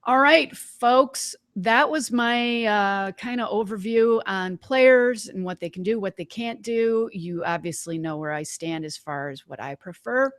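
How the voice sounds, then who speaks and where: A woman hosting a webinar, giving a casual live software demonstration in an informal, folksy way, thinking aloud. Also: mid-range voice, a little slow, few pauses, dry recording, studio quality. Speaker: webinar host.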